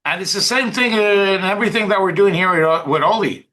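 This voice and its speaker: A man's voice: high-pitched, fairly quick, pushed and bright. A man hosting an online team call, talking off the cuff and insistently, repeating points and checking that listeners follow. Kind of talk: unscripted motivational talk.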